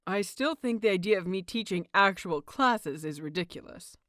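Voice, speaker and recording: A woman voicing a playful, excitable young husband in an audio story, chatty, teasing and self-deprecating. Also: medium pitch, normal speed, dry recording, studio quality, lightly acted. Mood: playful protest.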